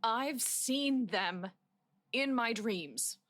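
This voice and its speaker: A woman's voice: fairly high pitch, lively intonation, very slow, fairly bright tone, no room sound, clean studio sound, exaggerated voice. A woman playing a troubled, defiant young patient in a radio drama, with a wounded, accusing manner. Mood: haunted. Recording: clear background noise.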